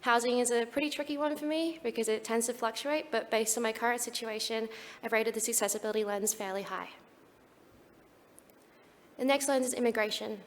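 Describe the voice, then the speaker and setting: A woman's voice: on the high side, fairly even intonation, a little fast, big-room sound. A young Australian woman giving an earnest, prepared talk at a conference. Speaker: conference speaker.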